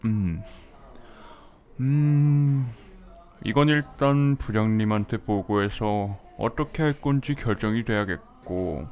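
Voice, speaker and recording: A man's voice: on the low side, slow pace. A man voicing a nervous, shy office worker in his thirties who talks in a flustered, hesitant way, sighing often, in an amateur audio drama. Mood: fretful. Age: 30s. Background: soft background music.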